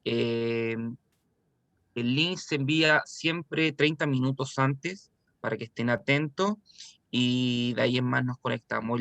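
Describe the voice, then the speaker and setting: A man's voice: mid-range voice, sharp tone, no echo. A man hosting an online lecture, opening the session with casual, chatty announcements. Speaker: webinar host.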